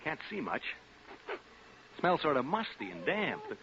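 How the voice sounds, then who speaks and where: A man's voice: on the high side, wildly swooping pitch, a little slow, heavily acted. An American man playing a brash, wisecracking radio DJ, narrating a ghost hunt in the flippant style of 1940s radio drama. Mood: wry, curious. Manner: flippant, joking, showman-like. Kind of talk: 1940s radio acting, live remote broadcast narration. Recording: dull, noisy 1940s radio recording.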